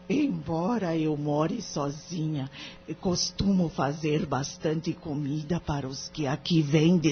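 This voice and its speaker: An actress as a kindly older Brazilian spiritual healer speaks slowly and devoutly, with humble gentleness. The sound is dull and lo-fi, with music underneath, like a homemade radio play. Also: female voice, on the low side, slightly rough, heavily acted. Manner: gentle, devout, unhurried speech. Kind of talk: audio drama acting in Brazilian Portuguese. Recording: dull lo-fi audio with music behind.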